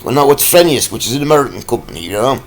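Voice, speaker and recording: A man, croaky from a cold, ranting in a rambling, halting vlog full of fillers. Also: mid-range voice, animated. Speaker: vlogger.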